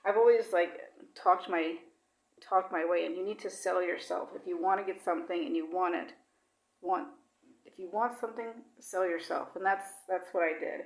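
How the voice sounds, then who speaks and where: A woman's voice: on the low side, moderate pace, echoey room, rough recording. A woman vlogging in casual, rambling talk with lots of fillers, now and then dropping Swedish words into her English. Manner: chatty, rambling, with many fillers. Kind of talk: casual personal chat.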